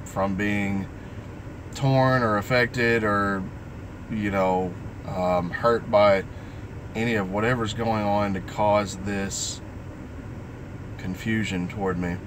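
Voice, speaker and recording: Man, deep pitch, middle-aged, reflective, halting delivery, live-stream vlog, noticeably noisy recording. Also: steady tone. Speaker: vlogger.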